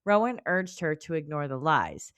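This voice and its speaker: A woman's voice: deep voice, big pitch swings, normal speed, a bit bright, clean tone, no echo, studio quality. A woman co-hosting a book club podcast, speaking in an informal, colloquial way as she chats and recaps. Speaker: podcast co-host. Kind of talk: casual book club chat with read-out chapter recap.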